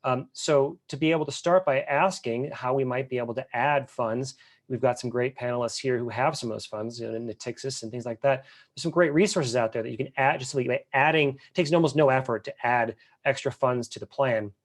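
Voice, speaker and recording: Fast-talking man on a finance panel. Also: mid-range voice. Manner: fast, earnest, with repeated restarts. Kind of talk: webinar panel discussion.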